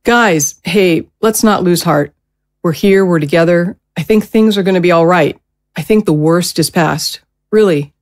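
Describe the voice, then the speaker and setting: A woman playing a gentle, protective middle-aged mother in an audio drama, speaking in a soothing, careful way. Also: lowish voice, unhurried, natural voice. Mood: reassuring.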